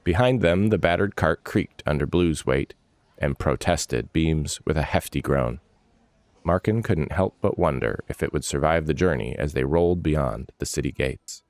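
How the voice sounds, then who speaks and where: A man's voice: rumbling low, normal speed, a little mellow, no room sound. A man reading a scripted fantasy story as its narrator.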